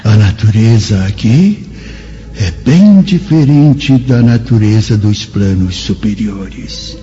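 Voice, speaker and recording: Slow, mellow spirit guide. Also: male voice, lowish voice, animated, faint music behind. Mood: grave. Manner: measured, solemn warning. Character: calm, grave male spirit guide. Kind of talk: dramatic radio play acting. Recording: dull, muffled radio drama sound.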